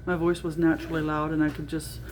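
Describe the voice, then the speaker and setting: A middle-aged woman with a naturally loud voice, talking through a casual video diary with lots of ums and restarts. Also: sits low, flat delivery, fast talker, slight background noise, rough recording. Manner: rambling, with frequent ums and restarts. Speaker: vlogger.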